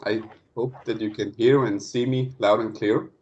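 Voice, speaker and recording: A man's voice: mid-range voice, fairly even intonation, normal speed, darkish tone, slight background noise. A man from Denmark giving the friendly, hesitant opening of an online guest lecture, full of fillers and restarts. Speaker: guest speaker. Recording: dull lo-fi online call audio.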